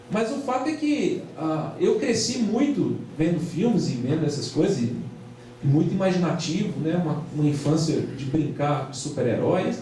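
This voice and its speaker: A man's voice: high voice, darkish tone, a little hiss or hum. A man from Argentina giving an informal sermon to a youth conference, chatty, often tagging his sentences with a questioning "right?". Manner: conversational, tags phrases with "né?". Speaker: preacher. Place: church hall. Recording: very echoey hall, far from the mic.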